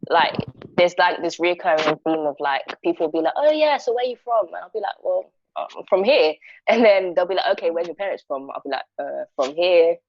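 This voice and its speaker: A woman's voice: on the low side, fairly quick, a little hiss or hum. A young woman from Northwest London with a London accent, talking casually in a group discussion on a muffled video call. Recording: dull video-call audio.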